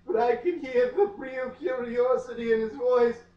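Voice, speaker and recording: A man giving an overwrought, melodramatic comic reading of a novel with lingering dramatic pauses. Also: very high voice, steady tone. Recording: echoey room, far from mic, muffled.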